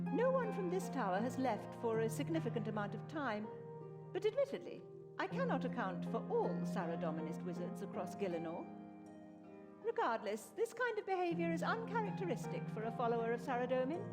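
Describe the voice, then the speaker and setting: Fantasy game wizardess, very expressive high voice, formal, precise, indignant scholar, music behind. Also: female voice, a little fast. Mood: cautious, measured. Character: esteemed female wizard of Saradomin, proper and indignant scholar. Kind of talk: scripted fantasy video game dialogue.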